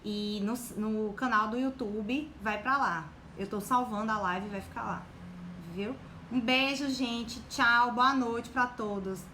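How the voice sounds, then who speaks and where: A young woman with a Bahian accent speaks in a fairly high voice, encouraging and chatty, checking in with her listeners again and again. She streams live from an echoey room. Faint noise sits under her voice. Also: unhurried. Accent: Bahian Portuguese. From Bahia, Brazil. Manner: warm, encouraging, full of fillers like "né" and "tá". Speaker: guest on a live stream about exam preparation. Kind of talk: casual motivational talk to a live audience.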